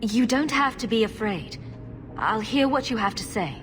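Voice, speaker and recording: A woman acting a calm, tactful heroine in a video game, composed and direct. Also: a little high, normal speed, noisy background, natural voice. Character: calm, diplomatic heroine, adult and reasonable.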